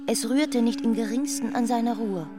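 A woman narrating a literary radio drama in terse, deliberate phrases. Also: on the high side. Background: prominent music.

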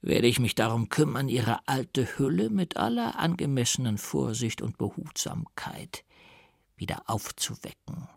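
A man's voice: medium pitch, big pitch swings, unhurried, creaky, no echo, studio quality, heavily acted. A man voicing a sly, amused doctor in an audiobook, with ornate, mock-deferential formal speech. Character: sly male doctor, mockingly courteous and amused.

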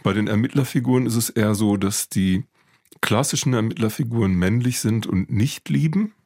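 A man speaking as an expert guest, explaining things in a measured, academic way. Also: fairly low pitch, a little mellow, no echo, clean studio sound. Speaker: expert guest, literature professor. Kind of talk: explanatory interview talk.

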